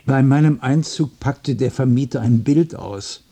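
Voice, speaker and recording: Husky, muddled lonely man. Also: mid-range voice, mellow. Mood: rambling, distracted. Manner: halting, repetitive, nervously rambling. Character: lonely, muddled, abandoned man, rambling and touchy. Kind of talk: German radio play acting.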